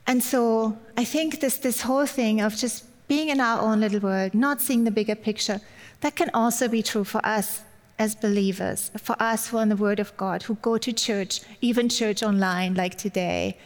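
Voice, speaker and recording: A woman with a German accent delivers a warm, chatty Mother's Day sermon to a congregation. Her voice sits a little high for a woman. It carries a long reverb from the hall around her. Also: moderate pace. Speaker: guest speaker.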